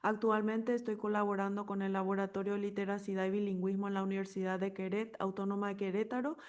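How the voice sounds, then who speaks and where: Flat-voiced woman moderating a workshop. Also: mid-range voice, quick pace. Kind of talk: moderating and reading a message aloud.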